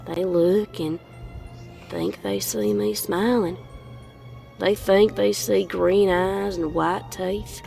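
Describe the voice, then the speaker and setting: A woman's voice: mid-range voice, creaky, dark tone. A woman voicing a troubled young pony who narrates her secret horror story in a brooding, folksy way. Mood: secretive, bleak. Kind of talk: dramatic first-person horror storytelling.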